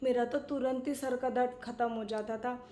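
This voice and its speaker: A woman's voice: high voice, moderate pace, a bit bright. Woman acting a nagging older aunt, echoey room. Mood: gossipy, boastful. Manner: dramatic, moralizing, chatty. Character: scolding, old-fashioned older aunt, nagging and self-righteous. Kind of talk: domestic comedy skit acting.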